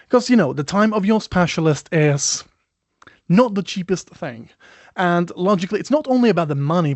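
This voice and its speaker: A man's voice: fairly high pitch, darkish tone, dry recording. A man who is not a native English speaker, giving a chatty, self-deprecating webinar presentation over a video call, often saying "you know". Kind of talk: conversational product presentation.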